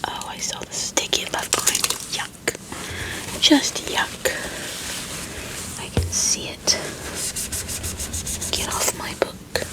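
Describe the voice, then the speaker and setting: A woman whispering softly and very quietly as she chats through an ASMR video at home. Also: rough recording. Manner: slow, unhurried chatty whispering. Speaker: ASMR creator. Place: home room. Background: crinkling of paper and book stickers.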